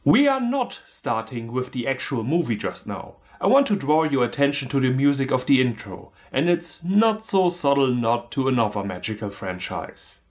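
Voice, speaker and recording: A man narrates a comedic film review. His medium-pitched voice moves up and down a lot, with a sarcastic, irreverent edge. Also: sing-song, moderate pace, few pauses. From Germany. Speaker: movie reviewer, video host. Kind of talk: scripted comedic movie recap narration.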